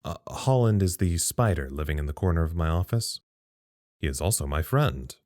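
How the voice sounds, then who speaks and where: A very low-voiced psychologist at a secret underground facility, recorded close and dry. He is weary and stubborn, musing with wry, dry humor in the manner of a reflective audio drama. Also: expressive, normal speed, a little mellow, no echo.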